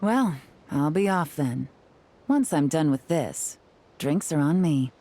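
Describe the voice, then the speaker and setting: Secretive woman agent, game role, slightly low, composed and coy, faint background noise. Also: lively intonation, slow, dark tone. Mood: cool, coy. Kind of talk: video game character voice acting.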